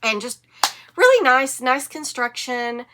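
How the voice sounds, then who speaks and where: A woman's voice: high-pitched, big pitch swings, slow, bright, forceful tone. A woman, sniffly from a cold, hosting a casual knitting podcast in a chatty, enthusiastic, rambling way. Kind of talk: casual show-and-tell chat.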